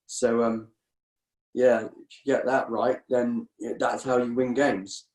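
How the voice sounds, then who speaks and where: A man talks about his game in a halting, filler-heavy way, often stumbling over his first words. His voice sits at an ordinary pitch and carries a noticeable roomy echo. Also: flat delivery, a little mellow. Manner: hesitant, many fillers and restarts. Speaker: rugby scrum half. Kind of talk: casual podcast chat.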